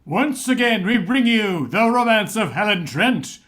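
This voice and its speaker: A woman's voice: medium pitch, expressive, unhurried, fairly bright tone. Woman as formal old-time soap opera announcer. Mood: formal, romantic. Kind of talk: 1930s radio serial introduction.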